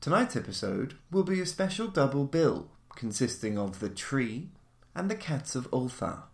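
Guy doing a dramatic gothic story reading, measured and formal, mid voice, lots of room echo. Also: medium pitch, very animated, vocal fry. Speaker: podcast host and narrator. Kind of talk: theatrical scripted reading of gothic horror stories. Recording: roomy, echoing voice recording.

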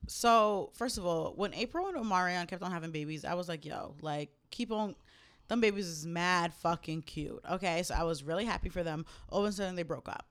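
A young woman co-hosting a podcast, chatting casually, cursing freely and jumping up high when outraged. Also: fairly quick. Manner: animated, swears often, spikes high when outraged. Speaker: podcast co-host. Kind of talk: casual chat.

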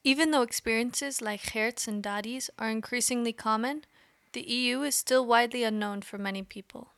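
A woman hosting a podcast, giving measured, explanatory scripted narration. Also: on the high side, steady tone, a bit bright, no room sound. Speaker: podcast host.

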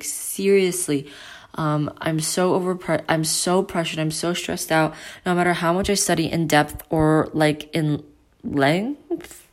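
An eighteen-year-old woman hosting her own casual podcast from home, with a deep, loud voice, rambling with lots of fillers and restarts. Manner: rambling, filler-heavy, self-interrupting. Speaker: podcast host. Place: at home with family.

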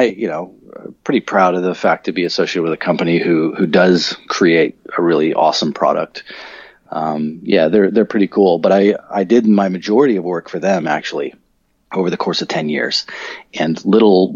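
A middle-aged American man from Colorado, a guest on a podcast, talking in a relaxed, rambling way with frequent ums and restarts. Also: sits low, moderate pace, breathy edge, no echo, lo-fi sound. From Colorado, USA. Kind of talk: conversational podcast interview.

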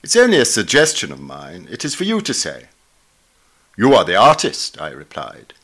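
A man reading a memoir essay aloud in a measured, literary, expressive way. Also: lowish voice, vocal fry. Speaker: reader of a magazine article.

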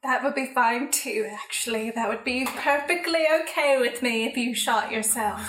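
Scheming femme fatale, acted by a woman, high-pitched, bright edge, cool and trigger-happy, improvised radio comedy, roomy echo. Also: moderate pace, far from the mic, a little hiss or hum, lightly acted. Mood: coolly persuasive. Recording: roomy, echoey recording.

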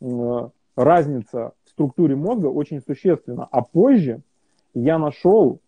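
A man speaks haltingly, stopping often to restart his sentences. His voice comes through husky and thin, like a phone call. The sound is dry, with no room around it. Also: mid-range voice, lively intonation, dry recording. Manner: hesitant, many fillers and restarts. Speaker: interview guest. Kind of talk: casual conversational interview. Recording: tinny phone-like line.

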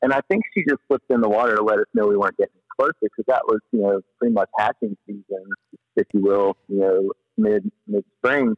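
Low voiced guy on a podcast tellin rambly slangy stories, animated, kinda dull lofi audio, dry no echo. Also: fairly quick, vocal fry. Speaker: podcast guest, paddler and former river guide. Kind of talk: casual storytelling in an interview.

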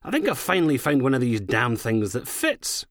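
A man's voice: medium pitch, wildly swooping pitch, normal speed, fairly bright tone, dry recording, studio quality, voice pushed up, exaggerated voice. A man voicing a foul-mouthed, cynical female police inspector with a Scottish accent, scornful and impatient, in an audiobook. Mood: smug. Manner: sweary, sardonic, uses Scottish slang.

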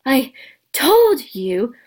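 A woman's voice: fairly high pitch, normal speed, a little mellow, lightly acted. A woman reading a storybook, voicing a clever, bossy schoolgirl who scolds insistently. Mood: breathless scolding.

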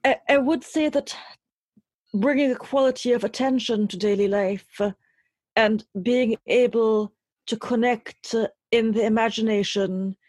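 Woman being interviewed, thoughtful careful phrasing, bit husky, really dry close sound. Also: on the high side, a little mellow, no echo. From Trinidad. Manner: articulate, literary, deliberate phrasing. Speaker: interview guest, poet and writer. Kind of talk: reflective interview conversation.

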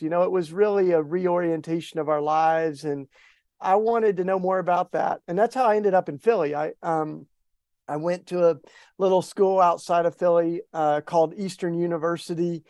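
A middle-aged man with an East Tennessee Southern accent speaks in a folksy, relaxed way. His talk rambles gently and is full of restarts. The sound is dry and close, with little room around his voice. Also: sits high, dry recording. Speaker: guest. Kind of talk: casual interview talk.

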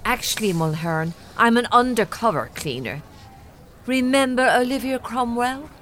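A woman's voice: fairly high pitch, expressive, moderate pace, a bit bright. A woman playing a mocking, assured undercover fraud-squad detective in an audio drama. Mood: triumphant, mocking.

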